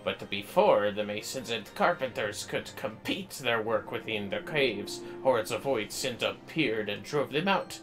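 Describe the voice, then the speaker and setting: A man voicing a solemn knight in a fantasy game, speaking formally in measured, archaic phrasing. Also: on the low side, lively intonation, music in the background. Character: solemn knight officially petitioning an adventurer for aid.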